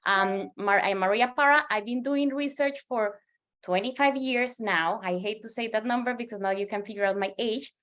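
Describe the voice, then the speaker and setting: A woman's voice: fairly high pitch, moderate pace, fairly bright tone. A woman in her forties from Colombia with a Colombian Spanish accent, a native Spanish speaker giving an earnest presentation as a market researcher, often restarting and repeating words. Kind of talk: presentation to a professional audience.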